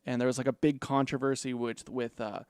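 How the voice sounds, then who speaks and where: A man's voice: mid-range voice, level tone, brisk, darkish tone, clear, resonant tone, dry recording, studio quality. A man hosting a casual film review, rambling and profane, with lots of "like" fillers. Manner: rambling, profane, laid-back with frequent "like" fillers.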